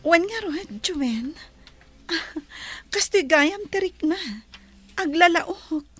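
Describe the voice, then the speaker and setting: A woman with an Ilocano Filipino accent playing a gentle, wealthy bride-to-be in a radio drama. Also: very high voice, slow pace, dry recording, exaggerated voice. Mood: warm, teasing.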